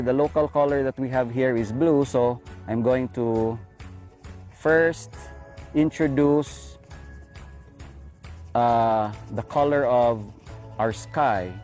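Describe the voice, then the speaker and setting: A man's voice: mid-range voice, darkish tone. A Filipino man giving an instructional demonstration as an art teacher, explaining each step.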